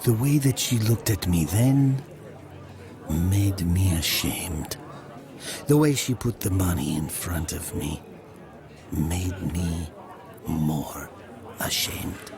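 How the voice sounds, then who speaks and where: Brooding jealous young man narrating an audio drama, slow and gravelly, music behind him. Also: low voice, lively intonation, heavily acted. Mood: rueful. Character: brooding, jealous young Italian man recounting his past.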